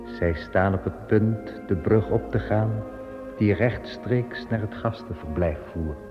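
Reverberant, lowish-voiced male storyteller. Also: slow. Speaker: narrator. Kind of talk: scripted storytelling in a radio play. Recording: reverberant, dull old recording with music. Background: music.

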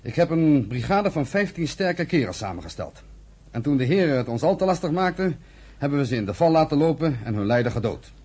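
An actor playing a militant, impatient pioneer settler in a Dutch radio play, arguing forcefully with his brother. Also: on the high side, big pitch swings, fairly quick, husky. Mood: persuasive. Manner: forceful, argumentative, scornful.

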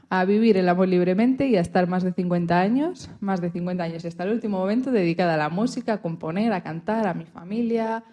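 A woman's voice: medium pitch, warm tone, close to an everyday voice. A woman playing a resilient, proud historical pianist and composer, telling her life story earnestly in a radio interview. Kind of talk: scripted mock radio interview.

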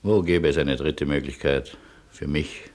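A man playing an ironic, resigned Polish refugee who argues in a measured, philosophical way in a German radio play. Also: a bass voice, fairly even intonation, a little mellow. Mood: wry. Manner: measured, argumentative, wry.

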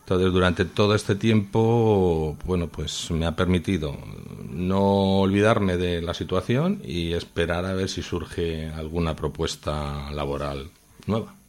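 A man with a low voice and a Madrid accent speaks as a guest in an informal radio interview. He picks his words in a halting, measured way. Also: darkish tone. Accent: Madrid Spanish. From Madrid, Spain. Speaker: interviewed guest, new radio show contributor.

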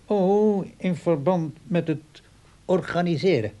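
An actor playing an aging father in a radio play, sly and aggrieved, with a husky, understated delivery. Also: high voice, slow pace, warm tone, slight background noise. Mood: hesitant, wistful.

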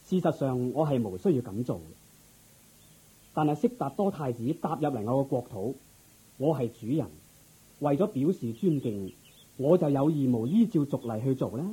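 A humble yet dignified king in Cantonese radio drama. He speaks courteously in a husky, very dark voice that moves expressively up and down. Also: mid-range voice, animated, normal speed, poor audio. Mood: firm, earnest. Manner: stately, courteous.

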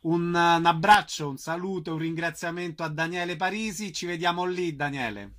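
A man's voice: fairly high pitch, normal speed, a bit bright. A man hosting a radio show, interviewing guests in a casual style.

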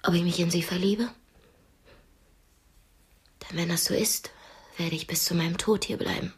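A woman's voice: fairly low pitch, fairly even intonation, moderate pace, close to an everyday voice. An actress playing a defiant, demanding young captive woman in a radio drama, with a clipped, insistent way of talking. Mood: hushed, bitter.

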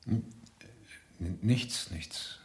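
An actor playing a brooding, guilt-ridden doctor with a subdued, hesitant delivery in a German radio crime play. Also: male voice, extremely slow, whispered. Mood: shaken, evasive. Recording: roomy, hissy old radio recording.

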